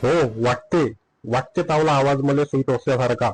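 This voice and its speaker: A man's voice: medium pitch, normal speed, soft background music, close to an everyday voice, poor audio. A man playing a rude, grumbling husband in a comedy cartoon, blunt and sarcastic with a Vidarbha Marathi accent. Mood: exasperated, indignant.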